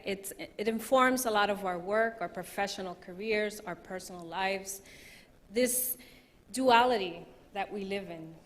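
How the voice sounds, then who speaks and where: Measured woman moderator in a reverberant hall. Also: mid-range voice, a bit bright. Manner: measured, deliberate questioning. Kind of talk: reading panel questions.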